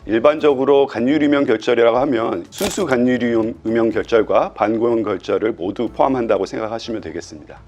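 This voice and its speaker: A male medical professor explains step by step in a calm, methodical voice of middle pitch. He pauses now and then to gather his thoughts. Faint music sits under his voice. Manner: calm, methodical, with thinking fillers. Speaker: pulmonology professor.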